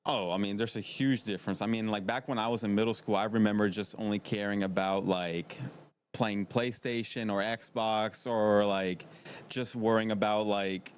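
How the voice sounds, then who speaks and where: A man's voice: fairly low pitch, steady tone, moderate pace. A man in his twenties from Maryland, chatting casually as an interview guest in a rambling, repetitive way. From from Maryland, United States. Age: 20s. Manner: conversational, rambling, repeats himself. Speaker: podcast guest, middle school PE teacher. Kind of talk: casual chat. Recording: noticeable background noise.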